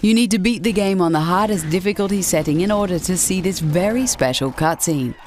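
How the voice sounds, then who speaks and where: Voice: mid-range voice, a little fast, slight background noise. A narrator reading a scripted video game countdown as a voice-over.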